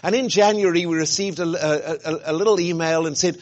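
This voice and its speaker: A middle-aged man with a fairly high voice delivers a relaxed guest sermon. He talks in a chatty, joking way, full of little fillers and restarts. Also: a little fast, dull audio. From grew up where rugby is played. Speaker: guest speaker, college president. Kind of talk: informal sermon talk.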